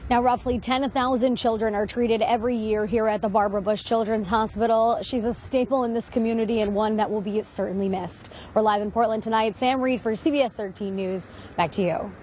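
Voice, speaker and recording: A woman's voice: fairly high pitch, fairly even intonation, fairly quick, hardly any pauses, darkish tone, slight background noise. An American woman giving a live TV news report from the field. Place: on location at a hospital.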